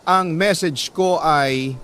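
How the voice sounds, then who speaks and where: A man reading a listener's love letter as a radio storyteller, in sentimental dramatic narration with deliberate pauses. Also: on the high side, a bit bright, clear, resonant tone.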